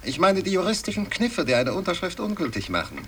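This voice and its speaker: An actor playing a scheming, calculating son of an aristocrat in a radio drama, precise and conspiratorial. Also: male voice, sits high, very animated, brisk, sharp tone, heavily acted. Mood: scheming, confident. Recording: distant, noisy 1960s radio recording.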